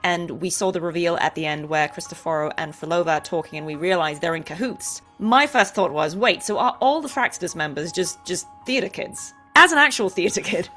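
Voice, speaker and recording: A woman's voice: mid-range voice, fairly bright tone. A woman hosting a casual interview between voice actors, chatty and playful in the way she talks.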